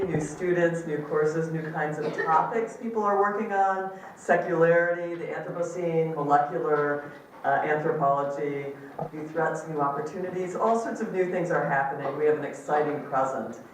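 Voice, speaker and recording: A woman's voice: low voice, normal speed, recorded from a distance, a little hiss or hum. Enthusiastic woman speaking in an echoey hall. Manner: upbeat, enthusiastic, with frequent ums. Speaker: department chair. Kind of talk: ceremony welcome speech. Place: large echoey lecture hall. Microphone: amplified microphone recorded from a distance.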